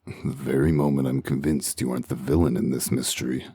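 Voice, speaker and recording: Male actor for hard-boiled wolf detective in comic dub. Extremely low, husky, dry wit, terse delivery. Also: level tone, fairly quick, warm tone, no echo, heavily acted. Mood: sardonic. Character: gruff, cynical wolf detective in human guise.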